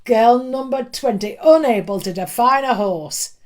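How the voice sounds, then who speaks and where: A stern schoolmaster obsessed with facts, acted by a woman in a dramatic storybook reading. He hands down emphatic, commanding pronouncements at a heavy, slow pace. The manner is pompous and domineering. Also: on the high side, a little mellow, voice pushed up, lightly acted. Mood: loud, scornful. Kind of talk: dramatic audiobook reading of a classic novel.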